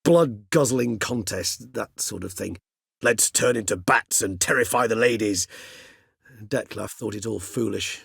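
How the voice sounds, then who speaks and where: A man's voice: lowish voice, vocal fry, fairly bright tone, breathy edge, no room sound, studio quality, exaggerated voice. An actor voicing a cultured older male vampire in a video game, speaking formally and precisely. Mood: wry, reminiscing. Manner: erudite, formal, measured, wordy phrasing. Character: cultured, mild-mannered older male vampire, learned and courteous. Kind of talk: theatrical video game dialogue.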